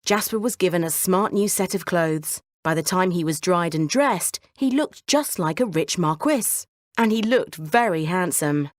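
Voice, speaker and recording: Woman narrator doing a kids bedtime story, animated up and down delivery, no room sound. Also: fairly low pitch, unhurried, no echo, clean studio sound.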